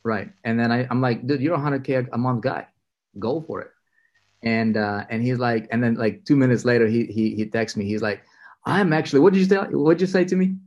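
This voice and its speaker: A man hosting a video call interview, energetic and enthusiastic, rambling with frequent restarts. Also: medium pitch, a little fast, lo-fi sound. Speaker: interviewer, mentor. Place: indoor room with city view window.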